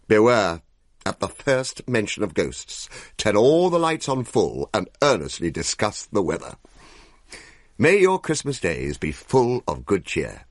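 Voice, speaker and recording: An actor playing a pompous, flustered Victorian gentleman with an English accent, telling his tale in a florid, wordy, indignant style. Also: low-pitched, creaky, no room sound, clean studio sound, full character voice. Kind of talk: radio comedy drama, theatrical storytelling.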